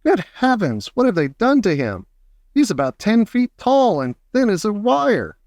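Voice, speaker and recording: A man voicing an excitable old grandfather in a storybook, flustered and exclamatory. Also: high voice, measured pace, no echo, clean studio sound, pitched above the performer's own voice, full character voice. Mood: aghast.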